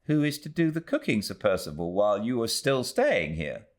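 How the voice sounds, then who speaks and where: A man's voice: medium pitch, very animated, normal speed. A man voicing a dignified, easily affronted Victorian woman housekeeper with a British accent, formal and stiffly deferential, in an audiobook. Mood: polite objection. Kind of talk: audiobook character voice.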